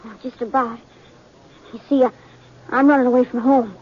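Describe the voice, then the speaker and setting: A woman's voice: on the high side, fairly even intonation, normal speed, slightly rough. A woman playing a sulky young American runaway boy in a 1950s radio drama, earnest and plaintive. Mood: polite, tired. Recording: dull vintage 1950s radio recording.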